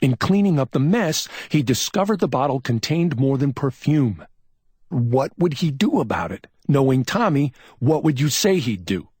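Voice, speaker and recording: A man voicing a brusque, excitable male police officer who snaps out urgent reasoning. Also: medium pitch, moderate pace, husky, no room sound, clean studio sound, pitched above the performer's own voice. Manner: snapping, urgent, scolding.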